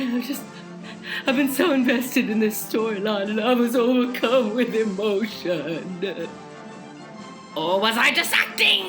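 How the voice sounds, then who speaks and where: Melodramatic woman announcer, slow and swooping. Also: a little high. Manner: melodramatic, self-important, stretches words for emphasis. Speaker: announcer introducing a radio play. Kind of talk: theatrical scripted narration.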